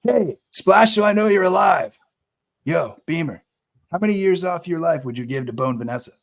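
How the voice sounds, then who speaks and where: A man's voice: fairly high pitch, animated, moderate pace, gravelly, pitched above the performer's own voice. A man voicing a genial eighteen-year-old stoner in a horror movie script read. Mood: teasing.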